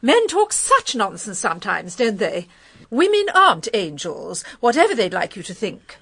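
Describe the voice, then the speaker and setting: A woman's voice: mid-range voice, wildly swooping pitch, normal speed, gravelly, a bit bright, husky, exaggerated voice. An actress playing a chatty, gossipy married woman in a radio mystery, airy, rambling and breezily opinionated. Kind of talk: British radio mystery drama.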